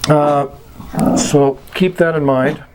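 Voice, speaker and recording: A man giving an informal explanatory lesson as an instructor, deliberate and halting, with long pauses. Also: fairly high pitch, steady tone, darkish tone, a little hiss or hum, rough recording.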